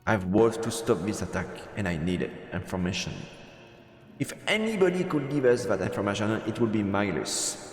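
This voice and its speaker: A man's voice: on the low side, very animated, moderate pace, faint music behind. A man streaming a visual novel, reading its narration aloud and adding commentary. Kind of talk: let's play commentary and read-aloud narration. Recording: echoey room with long reverb.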